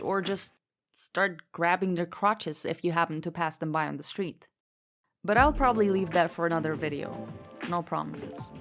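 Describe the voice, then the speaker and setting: Sardonic young woman, low voice. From Egypt. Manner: sardonic, self-deprecating, deadpan. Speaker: vlogger. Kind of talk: scripted comedic voice-over narration. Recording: voice-over with background music.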